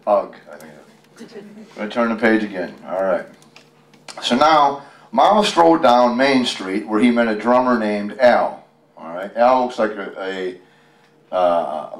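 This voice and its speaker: An American man reading a picture book aloud at a casual storytime, joking and chatting with folksy asides. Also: on the low side. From United States, Western Pennsylvania. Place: library room, live event. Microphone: handheld microphone held by a helper. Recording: roomy, distant from the microphone.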